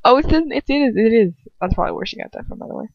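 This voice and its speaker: A woman reading a story aloud in an expressive amateur narration, with dramatic emotion and casual asides. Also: a little high, racing, vocal fry. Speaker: fan fiction reader. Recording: dull, lo-fi, dry home recording.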